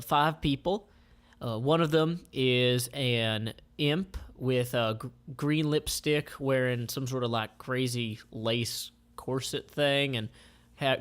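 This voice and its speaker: A man informally describing comic panels aloud as their creator, with halting, improvised phrasing. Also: medium pitch, a bit bright, clear, resonant tone.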